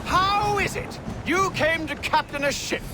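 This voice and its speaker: Imperious, high-pitched impatient father. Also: measured pace. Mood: urgent, impatient. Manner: imperious, urgent, scolding. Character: impatient, commanding father chasing an escaping enemy at sea. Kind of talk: dramatic video game acting. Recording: loud music over the voice.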